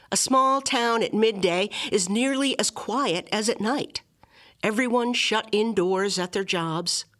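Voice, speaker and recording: A woman reading a literary memoir aloud as scripted narration, with measured, articulate and expressive phrasing. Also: on the high side. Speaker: reader of a literary memoir excerpt.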